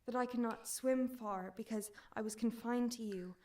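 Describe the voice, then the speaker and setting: A woman's voice: on the high side, moderate pace, dark tone. A woman reading aloud in a spoken-word poetry recital. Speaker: poetry reader.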